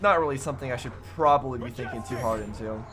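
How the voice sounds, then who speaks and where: A man hosting a reaction video, commenting casually and haltingly, often wondering aloud in questions. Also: medium pitch, over music. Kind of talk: casual, off-the-cuff commentary on game cutscenes.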